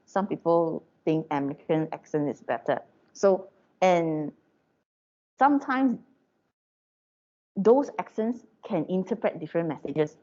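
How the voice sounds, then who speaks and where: A woman with a Malaysian English accent lectures her students in a chatty, informal way, dropping tag questions as she goes. Her voice sits a little low and sounds mellow. The recording is dull and lo-fi.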